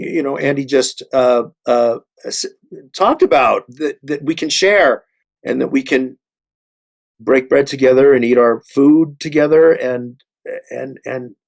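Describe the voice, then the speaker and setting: A man speaking earnestly in a discussion, halting and repeating words as he makes emphatic points. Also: medium pitch. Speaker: panelist, theatre artist.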